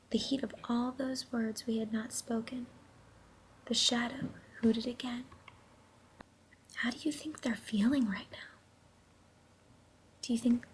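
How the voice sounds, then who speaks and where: A woman reading a children's story aloud in a gentle, hushed, unhurried way, pausing to put questions to her listeners. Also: on the high side, slight background noise, low-quality recording. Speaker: storybook reader.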